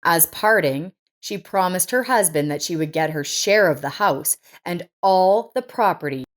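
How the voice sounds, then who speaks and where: A woman's voice: lowish voice, lively intonation, unhurried. A woman reading a scripted life story as its narrator.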